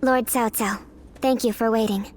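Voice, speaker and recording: An actress as a devoted young female assassin, higher-pitched. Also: fairly even intonation, moderate pace, music in the background, lightly acted. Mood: polite. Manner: earnest, formal. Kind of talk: video game cutscene acting.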